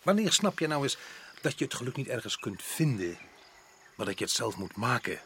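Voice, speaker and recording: A weary, defensive official in a radio drama. He is a man with an average-pitched voice. His delivery is measured and dismissive, that of someone set on being reasonable. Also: sing-song, a bit bright, a little hiss or hum. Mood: reproachful.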